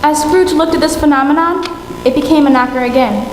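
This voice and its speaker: A woman's voice: high voice, fairly quick, close to an everyday voice. A woman playing a narrator, reading scripted storytelling in a radio play. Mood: narrating. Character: storyteller narrating a Victorian ghost tale. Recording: echoey hall, clear background noise.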